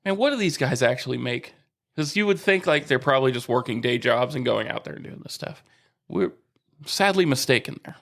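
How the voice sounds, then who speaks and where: A man's voice: mid-range voice, expressive, brisk, fairly bright tone. A man co-hosting a casual podcast, chatting about golf and reading a listener email, often laughing as he talks. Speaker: podcast co-host. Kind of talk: casual chat.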